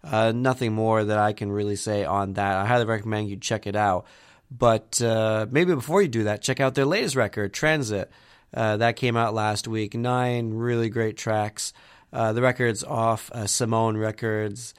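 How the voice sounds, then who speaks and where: Man, radio host, nasal from a cold, lowish pitch, smooth voice, rambling chatter. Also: normal speed. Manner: rambling, with many fillers and restarts. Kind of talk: community radio show patter.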